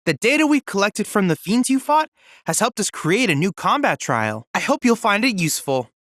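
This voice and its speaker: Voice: medium pitch, a bit bright, no room sound, studio quality. An actor playing a polite, scholarly teenage boy android research assistant in a video game, speaking in a formal, precise, courteous way. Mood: pleased, confident. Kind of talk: scripted video game character dialogue.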